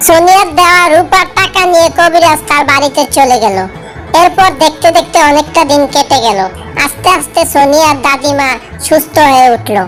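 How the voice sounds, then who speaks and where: A woman's voice: high-pitched, a little fast, a bit bright. A woman reading scripted storybook narration for a cartoon tale, with music behind her.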